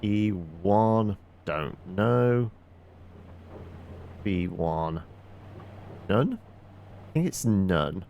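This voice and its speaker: A man's voice: deep voice, expressive, extremely slow, gravelly, pauses often, darkish tone. A man giving casual live game commentary, thinking aloud in a hesitant, muttering way over audible noise. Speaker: game streamer.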